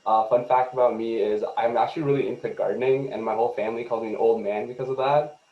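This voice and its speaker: Fast-talking young man, roomy sound. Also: medium pitch, fairly even intonation, dull audio. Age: young adult. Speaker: student group president. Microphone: video call.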